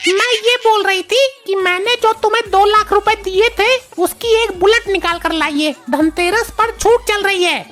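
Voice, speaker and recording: A woman's voice: very high voice, normal speed, hardly any pauses. A woman voicing a bossy, exasperated, scolding wife in a comedy cartoon. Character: scolding, nagging cartoon wife with a sharp tongue. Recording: loud music bed underneath. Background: loud music.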